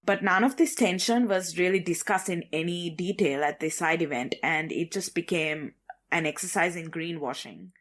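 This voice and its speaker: A woman with a lowish voice talks through regional news on a podcast. Her delivery is measured and conversational, with plenty of "you know" and "kind of" as she builds her points. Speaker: podcast co-host.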